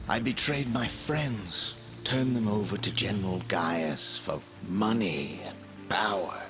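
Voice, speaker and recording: A man voicing the sorrowful ghost of a baron in a video game, speaking slowly and formally. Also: lowish voice. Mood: remorseful. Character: remorseful ghost of a male baron. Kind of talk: dramatic video game voice acting. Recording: loud music and rain behind. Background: game music and rain.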